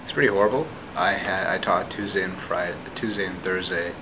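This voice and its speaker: A young man of twenty-two gives a candid account as a trainee teacher. His voice is very deep with little pitch movement. There is clear noise around him. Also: steady tone, fairly quick. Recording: clearly noisy ambient recording.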